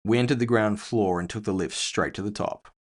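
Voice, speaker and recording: A man's voice: lowish voice, a little fast. A man narrating scripted first-person fiction with a wry storytelling manner.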